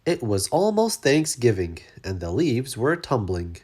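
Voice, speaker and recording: This is a storyteller reading a picture book to children. The delivery swings widely in pitch and leans into dramatic emphasis. The voice has a husky edge. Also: very animated, measured pace, a little mellow. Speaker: storybook reader. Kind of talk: read-aloud children's story narration.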